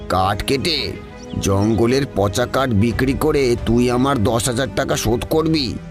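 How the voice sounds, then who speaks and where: Cartoon villain: cruel village moneylender, mocking and threatening, mid-pitched male actor, prominent music bed. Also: slow pace. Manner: scornful, bullying. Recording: music playing behind the voice. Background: background music.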